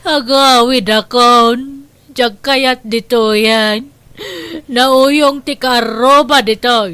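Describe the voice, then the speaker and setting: An actress plays a confused old grandmother in a radio drama. Her voice is high and bright, and she talks slowly. She is plaintive, childlike and demanding, repeating herself. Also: steady tone, clean tone, dry recording, heavily acted. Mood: confused, stubborn.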